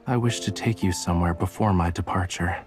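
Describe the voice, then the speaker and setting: A man playing a reserved, dutiful sea god in disguise, speaking in a formal, measured way. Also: sits low, over music, close to an everyday voice.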